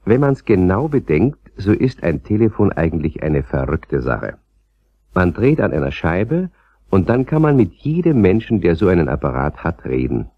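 A man's voice: deep voice, sing-song, normal speed, no echo, lo-fi sound, full character voice. A man narrating a children's radio play as a scripted storyteller.

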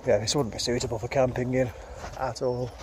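Man, vlogger, rambling woodland walk, phone mic, loud traffic noise behind. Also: mid-range voice, brisk. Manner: rambling, relaxed, thinking aloud. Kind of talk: casual walking commentary. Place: woodland outdoors. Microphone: handheld phone.

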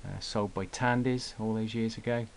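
A man giving an unscripted hobbyist product demonstration in a halting way, with frequent pauses, recorded indoors on a camera mic. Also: lowish voice, steady tone. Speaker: radio hobbyist presenting gear. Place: indoor room at a radio desk. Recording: clear background noise, clicks.

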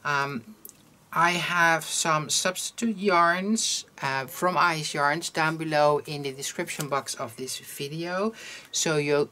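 A woman with a Dutch accent hosts a crochet lesson in English, which is not her first language. Her voice sits low, and she talks slowly and casually at a table, often pausing to find the right word. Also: fairly bright tone, a little hiss or hum. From Netherlands. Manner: hesitant, many ums while searching for English words. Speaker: crochet tutorial host. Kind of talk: casual instructional talk. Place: indoors at a table, hands over crafts.

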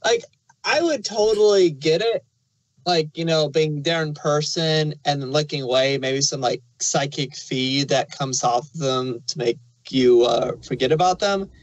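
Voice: mid-range voice, a little slow, dry recording, low-quality recording. A podcast reviewer chatting casually and puzzling things out aloud. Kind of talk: casual chat reviewing an audio drama.

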